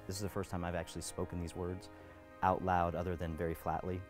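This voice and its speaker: A man's voice: low-pitched, little pitch movement, fast talker. A man, an actor, reflecting casually in an interview. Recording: music behind the voice.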